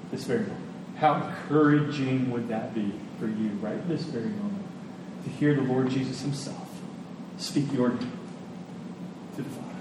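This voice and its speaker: A man preaching an expository sermon, earnest and emphatic, with dramatic pauses and repetition. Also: medium pitch. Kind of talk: expository sermon with scripture reading. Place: large reverberant indoor hall. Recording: distant, echoey large hall, faint noise.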